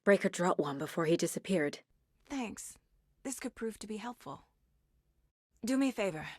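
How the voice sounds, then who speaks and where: A woman playing a focused, intuitive female FBI agent in a video game, speaking in a measured, introspective and intense way. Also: medium pitch, expressive, husky, no echo, studio quality. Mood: guarded, uneasy. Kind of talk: video game voice acting, investigative monologue.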